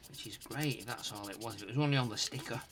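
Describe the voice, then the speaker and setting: Low-pitched man muttering, noisy recording. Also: fast talker, fairly bright tone. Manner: muttering to himself while working, in short fragments. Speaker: host of a retro tech repair channel. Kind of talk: casual hands-on repair commentary.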